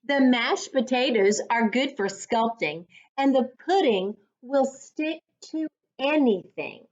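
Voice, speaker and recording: Slow, high-voiced woman reading to children. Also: expressive, a little mellow. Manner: deliberate, instructive teacher-like delivery. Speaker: reading coach. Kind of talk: read-aloud storytime for children. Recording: dull lo-fi sound.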